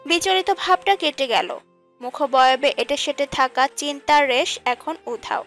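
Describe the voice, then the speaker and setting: High-pitched woman narrating a romance. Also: unhurried, a bit bright. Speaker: story narrator. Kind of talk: scripted narration of romantic fiction. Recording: music under the voice. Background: background music.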